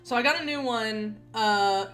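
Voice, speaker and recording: A woman's voice: a little high, measured pace, a bit bright, soft background music. Chatty, slightly nasal young woman streamer. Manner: rambling, chatty, self-correcting with frequent repeats. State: a little nasal and rough from a scented product. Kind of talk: casual gaming stream chat.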